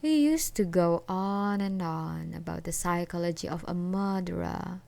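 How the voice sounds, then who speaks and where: A woman playing an obsessed, unhinged ex-girlfriend in an ASMR roleplay, taunting and possessive in a sweetly menacing, giggly way with drawn-out words. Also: fairly low pitch, vocal fry, mellow. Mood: menacing, gloating. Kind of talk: ASMR roleplay acting, one side of a conversation.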